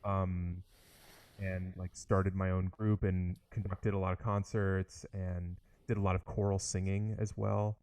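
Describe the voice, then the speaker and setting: A man's voice: deep voice, flat delivery, unhurried, darkish tone, no echo. A young man, a trombonist, chatting eagerly in an interview, with lots of likes and restarts. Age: young adult. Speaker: orchestral trombonist, interview guest. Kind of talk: casual interview conversation.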